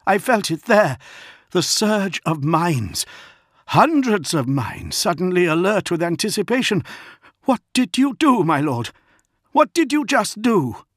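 A man's voice: high voice, sing-song, measured pace, no echo, clean studio sound, pitched above the performer's own voice, heavily acted. A man voicing a terrified, accusing psychic officer in an audiobook, agitated and urgent. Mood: shaken, accusing. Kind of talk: audiobook character acting.

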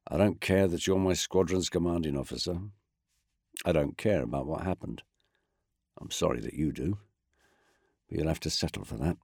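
A man's voice: rumbling low, moderate pace, creaky, long pauses, a little mellow, slightly rough, no echo, clean studio sound, exaggerated voice. A man voicing a weary, blunt squadron commander.

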